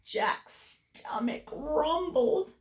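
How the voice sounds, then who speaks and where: A woman reads a children's story in a high, very lively voice at an unhurried pace, like a kindergarten teacher. She is chatty and expressive, recorded in a roomy space a little away from the mic. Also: whispered. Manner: animated, chatty, giggly asides. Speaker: kindergarten teacher reading aloud. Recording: roomy echo, distant microphone.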